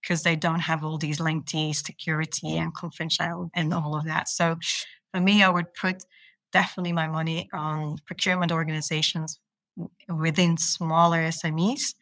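A woman's voice: deep voice, level tone, moderate pace, fairly bright tone, no room sound. A woman from Belgium, a procurement manager, answering interview questions with enthusiasm and earnest small restarts.

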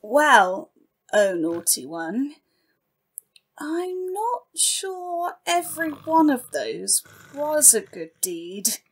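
A woman's voice: high voice, very animated, drawn-out words, heavily acted. A woman playing a chatty, sycophantic demon servant in an audio comedy drama, speaking with eager deference. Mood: anxious, cautioning. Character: Crabby, tipsy sycophantic demon minion of Satan.

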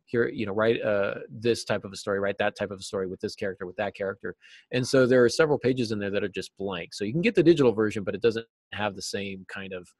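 A middle-aged man with a fairly low voice explains things conversationally as an interview guest. The sound is dry, with very little room to it, as he talks on a video call like a teacher. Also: fast talker, darkish tone, no echo. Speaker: guest, novelist and writing teacher. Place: small office, indoors.

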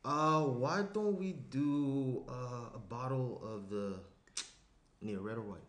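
A man's voice: medium pitch, takes it slowly, fairly bright tone. A young man acting an attentive, slightly anxious boyfriend in a conversational, hesitant script reading. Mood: casual. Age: young adult. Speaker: actor in a screenplay table read. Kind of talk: naturalistic dramatic script reading. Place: small indoor room around a table. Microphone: shared tabletop microphone. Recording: roomy echo, noticeable room noise.

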